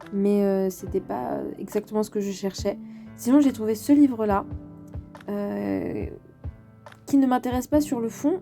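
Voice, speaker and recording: Chatty young woman with a mellow voice. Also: mid-range voice. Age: 20s. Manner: chatty and gushing, many fillers. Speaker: vlogger and design student. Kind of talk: casual vlog chat to camera. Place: at home.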